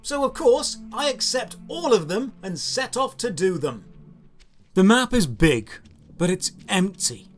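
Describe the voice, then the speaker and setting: A man's voice: sits high, big pitch swings, measured pace, faint music behind. A British man in his thirties narrating a scripted game review in a sardonic, critical manner. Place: small room lined with game shelves.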